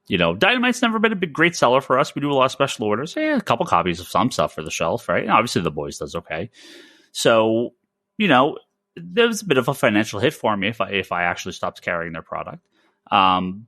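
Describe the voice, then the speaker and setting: A man with a bright, forceful voice hosts a casual podcast. His pitch swings in a lively way as he makes emphatic, opinionated points, doubling back on his phrases. The sound is close and dry. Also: dry recording. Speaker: podcast host, comic store owner. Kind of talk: casual podcast chat about comics.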